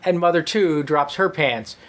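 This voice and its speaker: A man reading a comic story aloud at a live show, with deadpan asides. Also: fairly high pitch, a little slow. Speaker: comedy troupe storyteller reading own story. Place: live show before a laughing audience.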